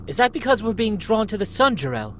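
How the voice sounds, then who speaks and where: A man's voice: sits high, sing-song, a little fast, fairly bright tone, slight background noise. A young man voicing a devoted, anxious wife and mother in a live radio play reading, earnest and melodramatic. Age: young adult. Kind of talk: live amateur reading of old radio script.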